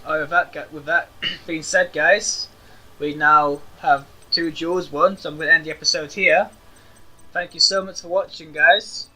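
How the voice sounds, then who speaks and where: A man's voice: steady tone, normal speed, bright, forceful tone, low-quality recording. A man hosting a gaming channel, chatting casually to viewers and thinking aloud as he plays a card game. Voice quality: self-described high-pitched voice. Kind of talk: casual let's-play commentary.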